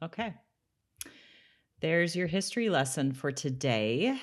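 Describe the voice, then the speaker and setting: A woman's voice: low voice, big pitch swings, measured pace. A woman co-hosting a podcast in casual, informal, bantering chat. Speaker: podcast co-host.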